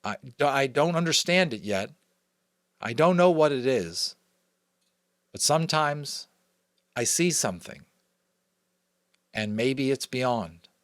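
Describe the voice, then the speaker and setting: An American man voicing an earnest, unsure young boy in a storybook, speaking in a hesitant, halting way. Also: medium pitch, animated, fairly bright tone, no room sound, studio quality.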